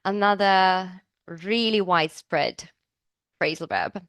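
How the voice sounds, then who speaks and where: A woman's voice: fairly low pitch, takes it slowly, a bit bright, smooth, dry recording, studio quality. Upbeat woman teaching. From Serbia. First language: Serbian. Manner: upbeat, chatty, asks the class questions. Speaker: business English teacher. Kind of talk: live online class.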